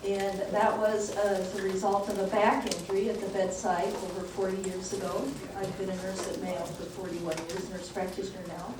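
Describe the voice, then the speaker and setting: A soft-voiced woman in her sixties tells a personal story in an easy, conversational way, with the odd "um" along the way. She is picked up from a distance in a very echoey church hall. Steady background noise runs under her voice. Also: medium pitch, moderate pace, hardly any pauses. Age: 60s. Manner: conversational, personal anecdotes with filler "um". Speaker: nurse practitioner speaking as guest presenter. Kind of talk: casual church talk, storytelling.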